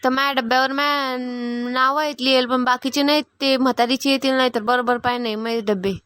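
A woman's voice: high-pitched, level tone, fast talker, fairly bright tone, clean tone. A woman voicing a fed-up, stubborn village daughter-in-law in a cartoon comedy, defiant and insistent, with a rural Maharashtrian accent. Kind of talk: animated family comedy acting.

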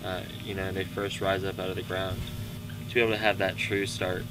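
A young man answering interview questions outdoors by a lake, relaxed and full of "you know" fillers, with guitar music behind. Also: deep voice, fairly quick, background noise. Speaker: interviewee, hiker. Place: outdoors by a lake among trees.

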